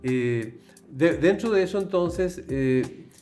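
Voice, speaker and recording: A man's voice: fairly high pitch, animated, slow pace, darkish tone, faint music behind. A man hosting an interview, asking long questions with many hesitations and repeated words.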